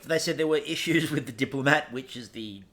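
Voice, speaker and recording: A man's voice: mid-range voice, fairly quick, fairly bright tone. A man co-hosting a comic recap podcast, chatting in a dry, teasing way. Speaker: podcast co-host.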